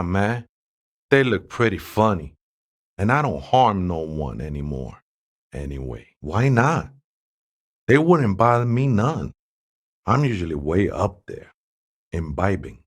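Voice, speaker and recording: An actor playing a serene, devout ex-gang man with a Mexican accent who talks in laid-back, reverent street slang for a video game. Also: fairly low pitch. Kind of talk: video game character dialogue.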